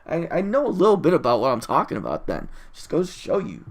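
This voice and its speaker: Solo audio-log host, highly animated pitch swings, casual rambling, exasperated, opinionated, emphatic, sweary. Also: quick pace, gravelly. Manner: rambling, easily distracted, opinionated.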